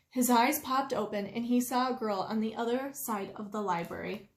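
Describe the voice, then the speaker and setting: Woman, children's storybook narration, high pitch, deliberate emphasis, unhurried, roomy echo. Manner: animated, deliberate, drawn-out emphasis. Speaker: storytime reader.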